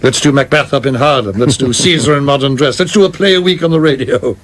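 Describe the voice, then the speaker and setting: An actor in a radio play as a cautious, scholarly theater producer, measured and formal, with a dry wit. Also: male voice, medium pitch, natural voice. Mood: defensive. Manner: measured, literal, dryly witty, formal wording.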